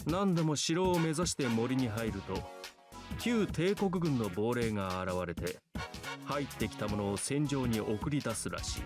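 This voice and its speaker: Man as stern pompous student, visual novel, swinging pitch, quick formal delivery, music behind. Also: animated, close to an everyday voice. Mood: solemn. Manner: formal, archaic, pompous phrasing. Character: stern, old-fashioned male student telling ghost rumors. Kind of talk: Japanese visual novel voice acting. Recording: game music underneath.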